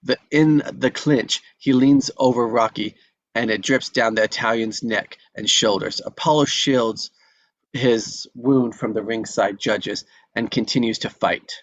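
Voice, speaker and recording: A man's voice: mid-range voice, a little slow, dry recording, highs cut off. A man narrating the action of a film script at a table read.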